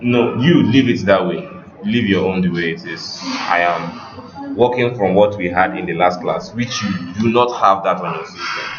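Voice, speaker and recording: Male tech instructor, very low unhurried voice, walking learners through steps; muffled recording with clear background noise. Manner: instructional, directing students, checking on them. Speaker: web development instructor. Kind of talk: step-by-step live coding lesson.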